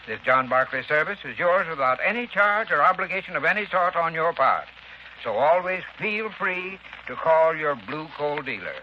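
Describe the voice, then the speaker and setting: A male sponsor spokesman giving scripted advice in a measured, earnest manner, in a muffled 1930s radio broadcast. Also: fairly high pitch, animated, a little hiss or hum.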